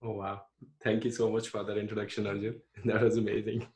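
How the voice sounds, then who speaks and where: Indian man with a flat, low voice. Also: brisk, echoey room, background noise. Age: 30s. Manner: earnest, reflective, frequent fillers and restarts. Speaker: podcast guest. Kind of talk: casual interview storytelling.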